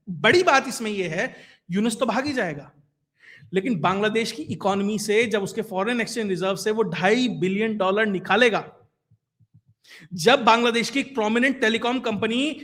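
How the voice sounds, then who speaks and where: A man with a notably high, bright, forceful voice. He delivers political commentary in a colloquial way, explaining points to the viewer and stressing them with rhetorical asides. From India. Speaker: YouTube news commentator.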